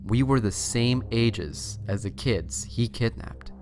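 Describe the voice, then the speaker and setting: A man's voice: medium pitch, takes it slowly, darkish tone, soft background music. A man hosting and reading a scary story as narration, with a theatrical, suspenseful delivery. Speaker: host and story reader.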